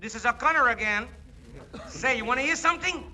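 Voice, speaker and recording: An actor playing a brash, excitable stockbroker who blusters with folksy, muddled wording in a 1940s radio comedy. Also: male voice, very high voice, a little fast, heavily acted, rough recording. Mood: excited.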